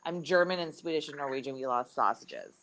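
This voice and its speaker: A woman's voice: low voice, lively intonation, fast, noisy background. A woman from Minnesota chatting casually as a co-host, teasing and laughing often. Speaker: live chat co-host. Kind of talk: casual banter.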